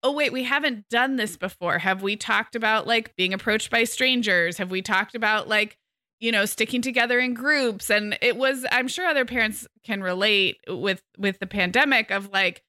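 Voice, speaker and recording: Woman host thinking out loud, really bright tone, dry mic. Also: on the high side, moderate pace, no room sound. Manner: friendly, reflective, thinks aloud. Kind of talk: casual conversation.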